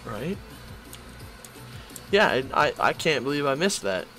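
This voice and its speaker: A man with a voice worn hoarse and strained from a long session talks to his viewers as he draws. His pitch sits in a middling range, and he rambles and thinks out loud in a loose, conversational way. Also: big pitch swings, measured pace, faint music behind. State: hoarse, strained from two hours of talking. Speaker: YouTuber drawing on stream. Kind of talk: casual commentary while drawing.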